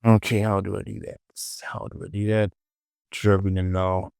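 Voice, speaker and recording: A man giving a casual software tutorial, thinking aloud and muttering short clipped phrases to himself as he works. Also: deep voice, little pitch movement, moderate pace, gravelly, clear, resonant tone, dry recording, clean studio sound. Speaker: 3D modeling tutorial presenter.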